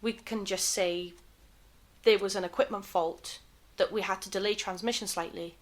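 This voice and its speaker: A woman playing a composed, wistful 1930s television broadcaster in an audio drama, with a gentle, reflective manner. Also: mid-range voice, fairly even intonation, normal speed, lightly acted. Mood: resolute. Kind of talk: fan-made audio drama acting.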